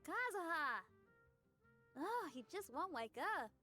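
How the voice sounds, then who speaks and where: A woman voicing a tiny, childlike, excitable fairy companion in a cartoonish video game, with a squeaky voice. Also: slow, soft background music, exaggerated voice. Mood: worried, puzzled.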